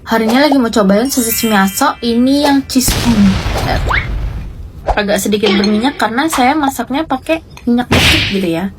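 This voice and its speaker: A woman's voice: fairly high pitch, moderate pace. A woman gives a casual tasting review. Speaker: food reviewer. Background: prominent music.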